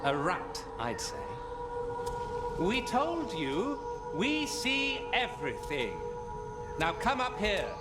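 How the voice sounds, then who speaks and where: Woman as proud, teasing elf, animated pitch; music up loud. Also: takes it slowly, long pauses.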